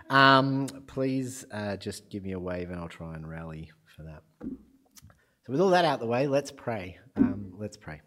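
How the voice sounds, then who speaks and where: A low-voiced man gives a sermon in a church. He is croaky from a cold and talks in a relaxed, self-deprecating way, with plenty of ums. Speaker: preacher. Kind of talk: sermon with prayer.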